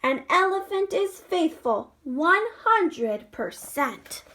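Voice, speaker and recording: A woman voicing a brave, stubborn male elephant from a storybook, in emphatic, measured rhyming declarations. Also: extremely high pitch, sing-song, a bit bright, heavily acted, low-quality recording. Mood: brave, defiant.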